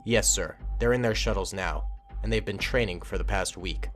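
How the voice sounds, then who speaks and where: A man's voice: deep voice, moderate pace, faint music behind, voice pushed down, lightly acted. A man voicing dutiful clone soldiers and commanders in a fan war story, with clipped military delivery. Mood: businesslike. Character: brisk, dutiful male clone army officers and soldiers.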